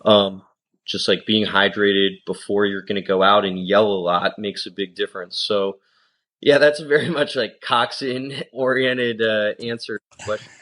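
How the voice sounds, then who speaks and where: A man's voice: fairly low pitch, fairly even intonation, moderate pace, fairly bright tone, rough recording. A man from the United States, a coxswain and coach, answering interview questions in a thoughtful, casual way with lots of "you know" and "um". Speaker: rowing guest, coxswain and coach.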